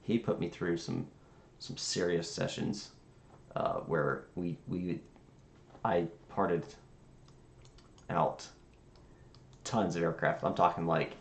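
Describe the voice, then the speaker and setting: A man streaming as a virtual air traffic controller, chatting casually in a halting, rambling way as he thinks aloud. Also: low voice, steady tone, gravelly. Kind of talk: casual livestream chat. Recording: roomy sound with faint background noise.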